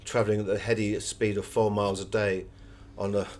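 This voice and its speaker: A man's voice: on the low side, flat delivery, fairly quick, a little mellow. A man giving conversational, anecdotal answers as an author being interviewed.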